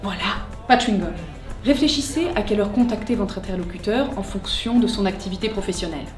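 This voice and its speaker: A woman's voice: mid-range voice, fast, reverberant, faint music behind. A woman presenting a scripted tutorial in a direct, conversational way with wry, playful humour. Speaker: presenter of job-search tutorial.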